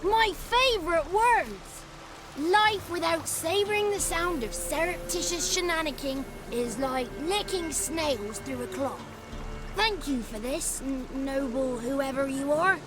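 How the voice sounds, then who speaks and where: A woman's voice: high voice, lively intonation, takes it slowly, over music. A woman voicing an impish, exuberant childlike forest creature in a video game, savouring each word theatrically. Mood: delighted, grateful. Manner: savours words theatrically, alliterative flourishes. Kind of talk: video game cutscene acting.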